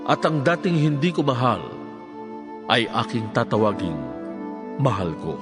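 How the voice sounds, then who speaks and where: A man's voice: medium pitch, sing-song, a little slow, over music. A man playing a solemn, declamatory voice of prophets and God in a dramatized Bible reading.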